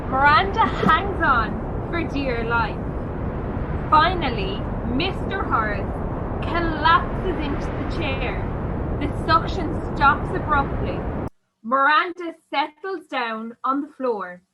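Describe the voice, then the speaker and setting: A woman's voice: high voice, expressive, slow pace, heavy background noise. A woman reading scripted stage directions as the narrator of a radio play.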